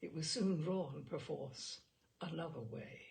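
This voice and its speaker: A woman reading a classic novel aloud as an audiobook narrator, with expressive, dramatic and deliberate phrasing. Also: low voice, vocal fry.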